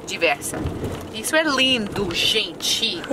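An American woman in her thirties talks in a slow, unhurried way on a casual vlog, switching between English and halting learner Portuguese with easy laughter. She is recorded on a camera mic from inside a car and outdoors, with clear road and outdoor noise behind her. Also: mid-range voice, lively intonation. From United States. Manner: playful, laughing, stumbles through learner Portuguese. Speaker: vlogger host. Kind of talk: bilingual casual vlog chat. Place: car, then outdoors in a park.

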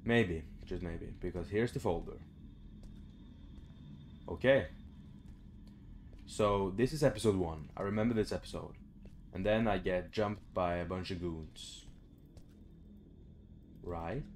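A young man with a low voice talks casually through a game, muttering to himself and swearing freely. He is recorded in a room at home with faint noise behind him. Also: takes it slowly, pauses often, a little mellow. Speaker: gaming video host. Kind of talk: casual let's play commentary. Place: small room indoors at home.

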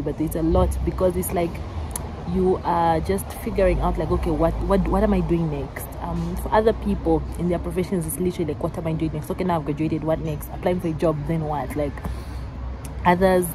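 A woman's voice: on the low side, background noise. A woman in her twenties chatting casually in a vlog, rambling with many fillers and restarts. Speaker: vlogger. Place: out and about, then a café.

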